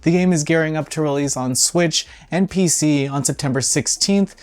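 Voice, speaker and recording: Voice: medium pitch, normal speed. A video game news host giving casual, opinionated commentary in an informal, blunt way, with some swearing.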